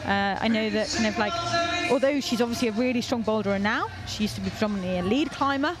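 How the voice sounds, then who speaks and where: Young woman doing climbing commentary, fairly high voice, cheering folks on, music playing behind. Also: fast. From North Wales. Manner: chatty, encouraging, cheers climbers on. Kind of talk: live sports commentary.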